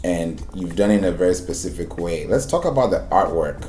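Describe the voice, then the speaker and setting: Male podcast interviewer, deep and dark-toned, casual chat, roomy echo on the voice. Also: lively intonation, brisk. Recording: echoey room sound.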